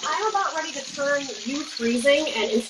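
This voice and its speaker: A woman's voice: sits high, expressive, normal speed. Chatty woman hosting over kitchen noise. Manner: informal, chatty, talks to viewers. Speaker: interview show host. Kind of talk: casual chat while cooking. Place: kitchen, cooking along on a livestream. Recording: noisy video call with kitchen sizzle. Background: food sizzling, hiss.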